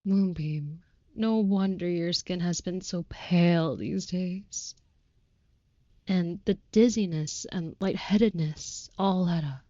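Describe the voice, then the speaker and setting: Woman doing a vampire girlfriend for audio rp, soft dark mellow voice, super slow, formal old-timey talk, protective n tender, bit muffled dry mic. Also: lowish voice, dry recording. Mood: concerned. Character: devoted, overprotective, courtly female vampire lover. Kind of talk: intimate comfort audio roleplay.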